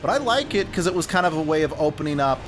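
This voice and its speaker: A man's voice: fairly high pitch, very animated, fast, soft background music. A man giving rambling, opinionated commentary in a casual gaming chat. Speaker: let's play commentator.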